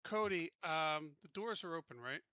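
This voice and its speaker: A man's voice: a little high, lively intonation, moderate pace, bright, forceful tone, smooth, dry recording, highs cut off. A man chatting casually as a player in a tabletop roleplaying game.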